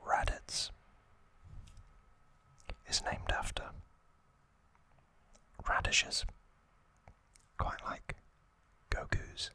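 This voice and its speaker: Slow, bright-whispering male ASMR host. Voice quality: whispered, breathy, unvoiced. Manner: slow, hushed, short halting phrases. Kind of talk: whispered ASMR trivia narration.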